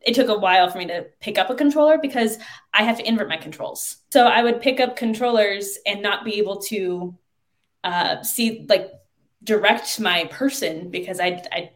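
A woman speaks as a podcast guest. She tells personal stories in a relaxed conversational style, and her voice sits at a middle pitch for a woman. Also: normal speed. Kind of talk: casual personal storytelling.

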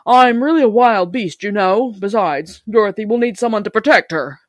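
A woman's voice: medium pitch, normal speed, no room sound, clean studio sound, voice pushed up, close to an everyday voice. A woman voicing a proud, brave lion in a storybook, with a self-assured, declarative manner. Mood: resolute. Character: proud, brave, loyal lion, a wild beast. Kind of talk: storybook character reading.

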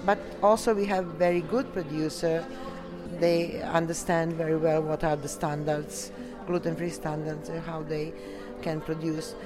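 Low-voiced Serbian woman, halting English. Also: little pitch movement, over music. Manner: halting, searching for words in non-native English. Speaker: coeliac association delegate being interviewed. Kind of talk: interview answers.

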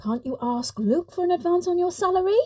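A woman's voice: very high voice, lively intonation, a little fast, warm tone. A woman playing a stressed, grieving mother in an audio story, exasperated and defensive as she argues with her husband. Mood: upset, accusing. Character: Tim's stressed, grieving, accusing mother in a marital row.

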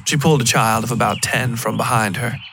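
Male, story narrator, gravelly fry, mid-pitched, noisy background with birdsong. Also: fairly even intonation, fairly quick. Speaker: fiction podcast narrator. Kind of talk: scripted first-person story narration.

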